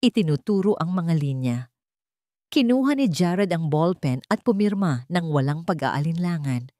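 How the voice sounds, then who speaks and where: A woman's voice: fairly low pitch, expressive, normal speed, warm tone, no echo, clean studio sound. A woman with a Filipino accent reading a dramatic scripted story as an audiobook narrator.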